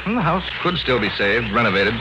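An American actor playing a curious, skeptical husband in an old-time radio horror drama. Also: male voice, medium pitch, very animated, fairly quick, natural voice. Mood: breezy, curious. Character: Bill, curious, skeptical married man and lecturer. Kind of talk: 1940s-50s radio horror drama acting. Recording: muffled, noisy old-time radio recording.

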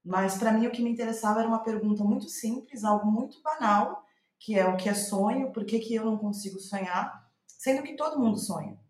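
A woman's voice: on the high side, a little fast, darkish tone. A Brazilian woman speaking as an interviewed playwright, reflecting in conversation and circling back to rephrase as she thinks aloud. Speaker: guest playwright. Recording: roomy, echoing voice recording.

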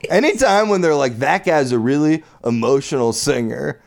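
A man's voice: a little high, expressive, normal speed, vocal fry. A man in casual late-night comedic chat, riffing jokes and laughing often. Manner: giggly, riffing, frequent laughter.

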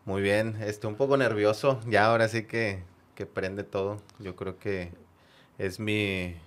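Low-voiced northern Mexican man. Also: steady tone, unhurried. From Monterrey area, northern Mexico. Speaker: podcast guest, football fan. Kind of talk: casual anecdotal conversation.

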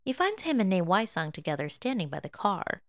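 A woman reading fiction aloud as a dramatic, expressive and emotive narrator. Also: medium pitch, fairly quick, no echo, clean studio sound. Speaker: fan fiction audiobook reader.

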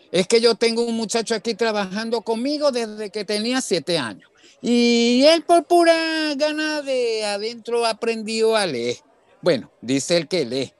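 An actor playing a burly, kindly older boss in a radio drama, frank and colloquial. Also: male voice, high-pitched, clean tone, no echo. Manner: colloquial, folksy.

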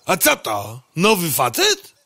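A man's voice: a little high, huge pitch swings, slow, pushed and bright, no room sound, studio quality, full character voice. A man playing a crude, mocking, gossipy postman in a comic radio play, teasing in a sneering, theatrical way.

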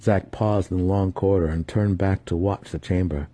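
A man narrates a fantasy audiobook in a dramatic storytelling style. His voice is deep and dark, with a husky edge. The reading is scripted and theatrical. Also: steady tone, moderate pace, no room sound.

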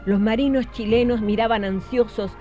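A woman narrating a scripted historical radio drama in a solemn, measured way. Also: fairly high pitch, steady tone, mellow, natural voice. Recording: dull lo-fi radio recording with music.

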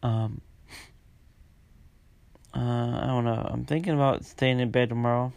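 A man hosts a casual solo diary podcast while congested, his nose stuffed up. His voice has a gravelly, fried edge and stays very flat in pitch. He talks haltingly, full of ums and restarts. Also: dry recording. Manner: halting, many fillers and restarts. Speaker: podcast host.

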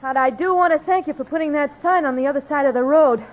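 Woman playing a high-voiced frontier woman. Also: fast talker, close to an everyday voice. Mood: sweetly mocking. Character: polite, spirited frontier woman freight hauler. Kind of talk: old-time radio Western acting. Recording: tinny old radio recording.

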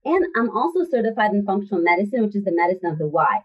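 A woman health coach chatting as a guest in an interview, lively and acting out the people she quotes. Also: medium pitch, rapid-fire, slightly rough. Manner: animated storyteller who acts out voices in quotes. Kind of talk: casual interview chat. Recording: distant, dull lo-fi sound.

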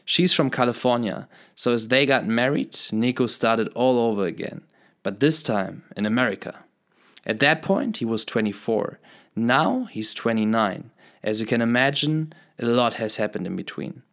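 A man reading a scripted podcast introduction in an earnest, heartfelt way. His voice sits in an ordinary middle pitch. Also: normal speed, fairly bright tone. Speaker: podcast host.

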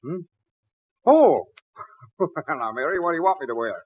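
A man's voice: a little high, big pitch swings, normal speed, slightly rough. An American actor playing a fussy, blustery middle-aged father in 1940s radio comedy, sputtering and theatrical. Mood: jovial. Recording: thin, tinny 1940s radio broadcast.

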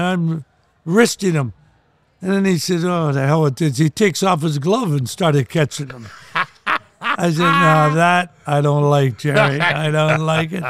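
A man in his eighties, a retired hockey player, telling gruff, joking stories in casual chat on a podcast. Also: on the high side, no echo. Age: 80s.

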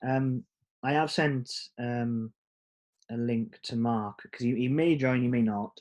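A man's voice: mid-range voice, a little slow, darkish tone, highs cut off. Hesitant man hosting a video chat. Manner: hesitant, many ums and fillers. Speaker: host. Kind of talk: hosting a casual recorded chat.

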